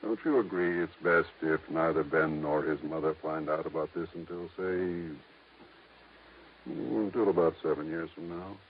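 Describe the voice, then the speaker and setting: An actor playing a measured, discreet lawyer with a formal, deliberate way of talking in a 1950s radio drama. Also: male voice, deep voice, slight background noise, close to an everyday voice. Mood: confiding, hesitant. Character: measured, discreet small-town lawyer guarding a secret. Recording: tinny, narrow-band vintage radio recording.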